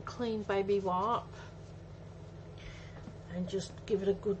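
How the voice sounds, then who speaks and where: Slow-paced, chatty woman on a muffled livestream. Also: mid-range voice, steady tone. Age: 40s. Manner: chatty, thinking aloud, reading out comments. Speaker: craft livestream host. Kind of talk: casual live craft demonstration, chatting with viewers. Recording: muffled audio with faint background noise.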